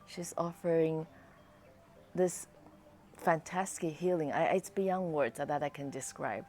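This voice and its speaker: Low-voiced, slow-speaking woman giving a testimonial. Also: darkish tone. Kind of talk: personal testimonial.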